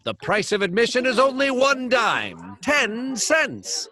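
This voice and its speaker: An actor playing a brash waxworks barker in old-time radio style, hawking with declamatory patter. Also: male voice, extremely high pitch, sing-song, slow pace, bright, forceful tone, full character voice. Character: excitable male waxworks barker, brash sideshow huckster.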